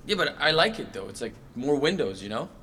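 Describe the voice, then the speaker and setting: An actor playing a controlling, fussy fiancé, smug and coaxing in an audio drama. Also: male voice, mid-range voice, sing-song, fairly quick, pushed and bright, a little hiss or hum. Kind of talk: naturalistic audio drama acting.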